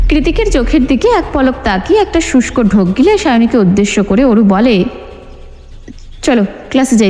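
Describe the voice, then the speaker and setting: A woman's voice: mid-range voice, dark tone. A woman reading a romance novel as scripted, dramatic narration. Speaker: audio story narrator. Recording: long hall-like reverb.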